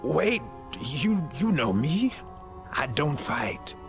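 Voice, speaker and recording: A weary male scholar in a game cutscene, bookish and bitter. The voice is gravelly and unhurried, with halting phrases, over clear background music. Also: fairly high pitch, big pitch swings, heavily acted. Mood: uneasy. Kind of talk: video game cutscene acting. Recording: music under the voice.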